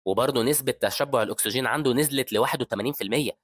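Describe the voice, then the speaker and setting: A man with an Egyptian accent voicing a calm, confident young male doctor who speaks in a steady, matter-of-fact way. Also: mid-range voice, very fast, no echo, studio quality, natural voice. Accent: Egyptian Arabic.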